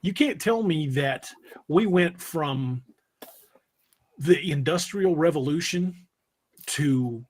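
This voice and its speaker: Husky-voiced man, slightly higher pitch. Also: animated, slow, pauses often, darkish tone. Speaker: podcast host. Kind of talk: casual panel discussion.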